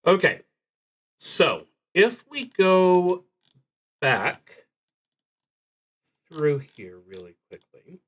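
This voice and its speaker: Slow, halting man hosting a game stream. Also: high-pitched, huge pitch swings, a bit bright. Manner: halting, with long pauses mid-sentence; wry, self-deprecating. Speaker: host of a solo role-playing stream. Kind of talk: casual livestream talk introducing a game.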